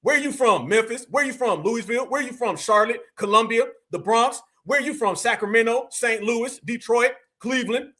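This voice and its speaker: A man's voice: extremely high pitch, moderate pace, few pauses, bright, forceful tone. An American man hosting a live opinion stream, speaking colloquially and emphatically to his audience, with repetition and rhetorical questions. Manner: colloquial, addresses listeners as family. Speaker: livestream host.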